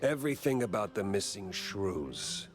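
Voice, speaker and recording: A hard-boiled cartoon noir detective, very low and gravelly. He talks slowly and sardonically, in terse cynical phrases, with music behind him. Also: sing-song. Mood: cool, sardonic. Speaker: private investigator. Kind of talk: 1930s film noir cartoon game acting. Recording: voice over music.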